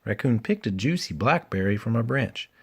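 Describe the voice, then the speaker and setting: Husky, animated male storybook reader. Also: mid-range voice, moderate pace. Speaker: children's book reader. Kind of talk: animated read-aloud storytelling to a child.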